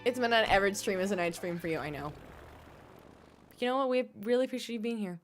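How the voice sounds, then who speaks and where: Bubbly woman streamer rattling off to chat, really lively up-and-down voice. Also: medium pitch, faint music behind. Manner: fast-talking, chatty, bubbly. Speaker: game streamer. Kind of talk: casual live-stream chat with viewers.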